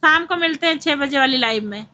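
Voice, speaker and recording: A woman's voice: high-pitched, a little fast, bright, forceful tone, lo-fi sound. A woman hosting a casual live stream, chatting with viewers and reading out their comments.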